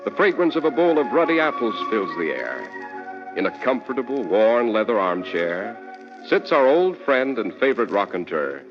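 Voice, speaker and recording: A man's voice: mid-range voice, sing-song, normal speed. A man announcing and hosting a scripted 1940s radio show with polished, theatrical, salesmanlike delivery. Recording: muffled vintage radio broadcast, reverberant, music behind.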